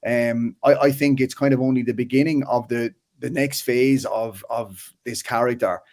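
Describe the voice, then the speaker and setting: A man in his thirties with a Waterford Irish accent, a filmmaker chatting casually as an interview guest, animated and full of restarts. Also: medium pitch, moderate pace. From Ireland. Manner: animated, restarts often, frequent "like" and "you know".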